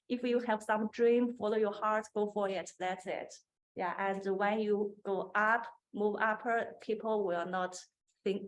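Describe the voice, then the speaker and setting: A woman's voice: mid-range voice, low-quality recording. A woman with a Chinese accent, speaking Chinese natively, giving earnest career advice on a panel and laughing often. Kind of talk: panel discussion, personal advice. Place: conference room.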